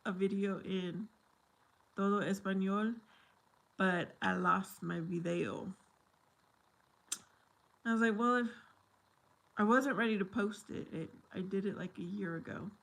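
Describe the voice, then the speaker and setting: A woman's voice: mid-range voice, a little slow, vocal fry, long pauses, fairly bright tone. A woman chatting casually with viewers on a livestream, slipping Spanish phrases in between English. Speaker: livestream host.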